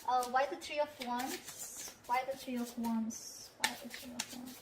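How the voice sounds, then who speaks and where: High-voiced woman reading tarot, echoey room. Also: fairly even intonation, a little slow. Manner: conversational, often checks in with "okay?". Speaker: tarot reader. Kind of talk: improvised tarot card reading addressed to the listener. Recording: echoey room with faint background noise.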